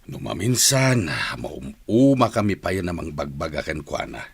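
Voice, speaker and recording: Deep, gravelly middle-aged father. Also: expressive, moderate pace, dry recording, full character voice. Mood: worried, resigned. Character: middle-aged father and uncle, fond but worried. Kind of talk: radio drama acting.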